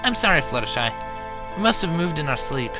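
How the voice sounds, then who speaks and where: A man voicing a kind young female unicorn in a fan fiction reading, gentle, sweet and unflappable. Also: on the high side, very animated, a little fast, over loud music, voice pushed up. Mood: gently apologetic.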